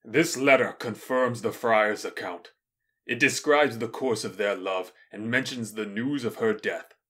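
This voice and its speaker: A man playing a stern, commanding ruler passing judgment with deliberate authority in an audio drama. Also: fairly low pitch, bright, forceful tone. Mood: grave, commanding. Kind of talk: modernized Shakespeare audio drama acting.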